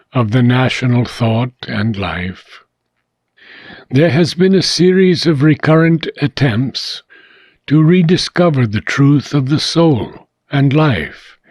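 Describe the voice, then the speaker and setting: A man reading a philosophical book aloud, in deliberate, measured phrases with frequent pauses. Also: medium pitch, darkish tone, dry recording. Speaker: audiobook reader.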